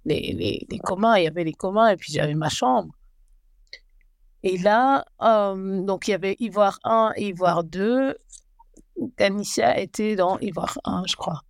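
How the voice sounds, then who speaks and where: A woman in her forties, a guest telling her life story slowly and hesitantly, often restarting as she searches for words. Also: medium pitch, creaky, dry recording, studio quality. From Belgium and Rwanda. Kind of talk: personal life story interview.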